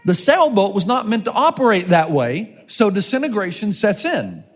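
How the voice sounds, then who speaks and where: A man in his fifties, a pastor, preaching a sermon with animated, joking delivery and rhetorical questions. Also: high-pitched, normal speed, long reverb tail. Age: 50s.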